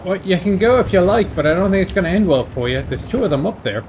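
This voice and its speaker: A man's voice: high voice, lively intonation, fast talker, a little mellow. Man commentating a game over engine noise. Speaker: host of a video game stream. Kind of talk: casual live gaming commentary. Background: video game tank engine and battle sounds.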